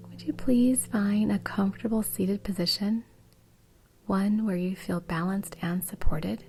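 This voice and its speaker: A woman guiding a meditation in a soft, breathy, soothing way. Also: medium pitch, steady tone, measured pace. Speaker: meditation guide. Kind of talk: guided meditation.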